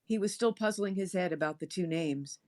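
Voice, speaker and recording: A woman narrating a horror story aloud in an expressive read-aloud style. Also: fairly low pitch, a little fast. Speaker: story reader on a stream.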